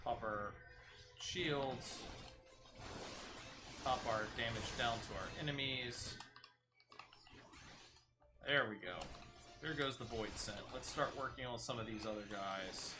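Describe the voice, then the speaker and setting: A man's voice: medium pitch, slow, long pauses, music in the background. A man giving casual gameplay commentary as a streamer, muttering his play-by-play as if talking to himself. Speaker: gaming streamer.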